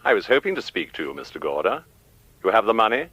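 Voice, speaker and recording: A dull muffled phone voice, low menacing crook in a radio play, fake polite, swooping intonation. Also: male voice, sing-song, fast, sharp tone, slightly rough, no echo, full character voice. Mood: smooth, threatening. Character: menacing, mockingly polite male criminal caller. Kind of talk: radio drama acting. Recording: dull, dry telephone-style line.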